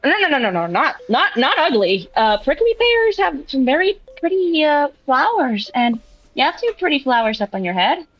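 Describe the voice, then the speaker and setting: A woman improvising dialogue in a tabletop roleplay, halting and repeating herself, on a dry, slightly dull mic. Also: high voice, very animated. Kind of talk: improvised in-character roleplay chat.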